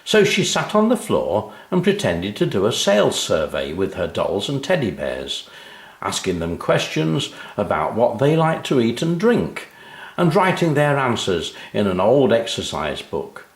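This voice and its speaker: A man reading his own children's story aloud in an animated storytelling style. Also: lowish voice, a little slow, reverberant.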